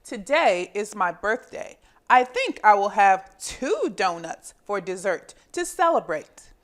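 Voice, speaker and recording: A woman voicing a cheerful, eager female dog detective from a storybook, with exclamatory, deliberate delivery. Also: medium pitch, sing-song, fairly bright tone.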